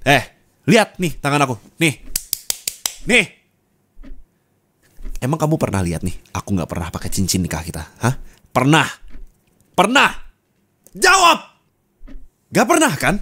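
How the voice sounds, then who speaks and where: Man acting as young husband with very animated voice. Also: a little high, measured pace, long pauses, heavily acted. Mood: angry, scolding. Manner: intimate, colloquial, turning from soft to scolding. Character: young husband, affectionate then angrily scolding his wife. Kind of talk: Indonesian ASMR roleplay acting, addressed to the listener. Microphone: close studio microphone.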